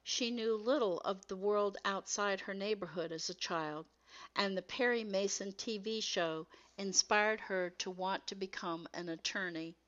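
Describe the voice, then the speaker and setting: A woman reading scripted educational text as a narrator, in a measured, carefully enunciated way. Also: mid-range voice, fairly even intonation, a bit bright, lo-fi sound.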